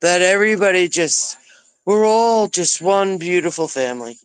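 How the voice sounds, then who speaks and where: Earnest, high-voiced male caller. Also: expressive, moderate pace, clean tone, no echo, rough recording. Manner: earnest, effusive, spiritual. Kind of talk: casual call-in chat.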